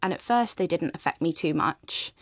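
A woman's voice: lowish voice, expressive, moderate pace, no room sound, studio quality. A woman with a British accent tells her own story conversationally as an interview guest. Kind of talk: conversational personal account.

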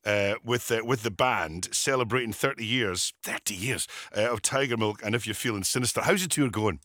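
Radio dj guy, upbeat and chatty, bright voice. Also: fairly low pitch, fairly quick, dry recording, clean studio sound. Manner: chatty, upbeat, easy banter. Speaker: radio presenter. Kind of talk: live radio chat.

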